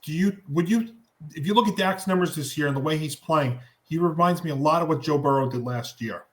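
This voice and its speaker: A man with a hoarse voice hosting a football show, reading the schedule and debating picks. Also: fairly high pitch, fairly quick.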